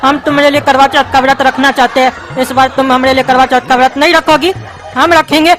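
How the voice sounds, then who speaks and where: Woman as a high-voiced, sentimental Bihari cartoon dad. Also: fairly even intonation, fairly quick, lightly acted. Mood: delighted, coaxing. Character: sentimental, doting widowed father in comic cartoon. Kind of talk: cartoon skit dialogue. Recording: music behind the voice.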